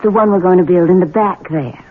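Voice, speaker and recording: An actress with an American Southern accent playing a spoiled, scheming young bride, coquettish and imperious, in a 1970s radio drama. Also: female voice, fairly low pitch, fairly quick, husky, phone-quality sound. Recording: band-limited, noisy old radio recording.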